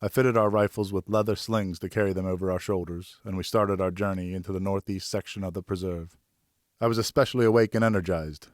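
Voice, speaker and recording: A man's voice: low voice, steady tone, brisk, dry recording, clean studio sound. A man reading a scripted first-person novel as an audiobook narrator.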